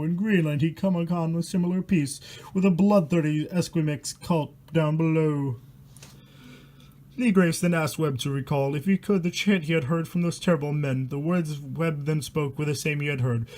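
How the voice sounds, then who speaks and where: High pitched guy doing dramatic story time, reads aloud w casual crude asides, fake horrified. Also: normal speed, a little mellow. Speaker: storyteller reading a book aloud. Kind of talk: storybook reading with casual ad-lib commentary.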